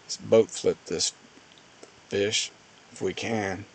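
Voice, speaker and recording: Low-pitched man narrating fishing footage. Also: steady tone, slow pace, vocal fry, slight background noise, poor audio. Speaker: fishing channel host. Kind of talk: casual voice-over commentary on fishing footage.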